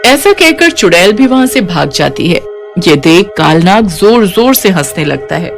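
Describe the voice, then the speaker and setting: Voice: medium pitch, sing-song, normal speed, creaky, soft background music. A narrator reading a scripted cartoon story.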